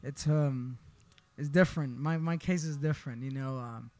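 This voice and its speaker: A man's voice: mid-range voice, normal speed, darkish tone, no room sound. A man in his twenties from Honduras, a podcast guest in a barbershop, telling his story in a laid-back way and often asking "you know what I mean". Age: 20s. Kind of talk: casual personal storytelling.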